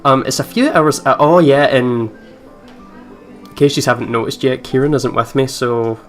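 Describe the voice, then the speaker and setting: Mid-pitched man reading over guitar music. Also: fairly even intonation, moderate pace, a bit bright. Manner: informal, giggly, sweary asides. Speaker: let's play host. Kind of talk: reading a visual novel aloud with casual reactions. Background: game's guitar music.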